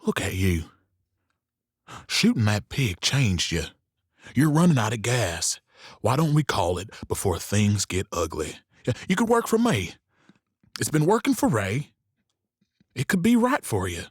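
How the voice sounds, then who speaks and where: An actor playing a world-weary, goading saloon owner in a western audio drama, with a folksy, speechifying manner. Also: male voice, on the low side, very animated, a little slow, long pauses, breathy edge, dry recording, clean studio sound, full character voice. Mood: wry, bargaining.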